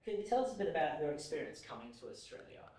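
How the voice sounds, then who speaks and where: A man asking gentle questions as interviewer in an oral history interview. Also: on the high side, sing-song, rapid-fire, roomy sound.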